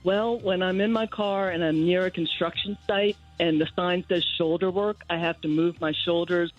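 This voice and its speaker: A woman's voice: on the low side, steady tone, normal speed, lo-fi sound. Female phone-in caller, casual, humorous storytelling, faint music bed. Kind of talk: casual chat, humorous anecdote.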